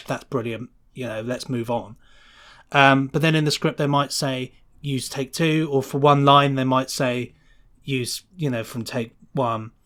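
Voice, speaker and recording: British man explaining his craft. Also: medium pitch. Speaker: guest sound designer being interviewed. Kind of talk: casual podcast interview, explaining work process.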